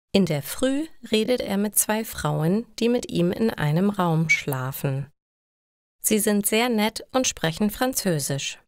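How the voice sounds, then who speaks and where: A woman reading simple scripted stories for language learners in a measured, clearly enunciated way. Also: on the low side, dry recording, studio quality. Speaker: language-learning story narrator.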